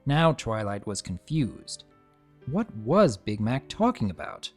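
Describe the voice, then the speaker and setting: A man's voice: on the low side, slow pace, soft background music. A man narrating fan fiction in a theatrical, scripted dramatic reading style. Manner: expressive, theatrical.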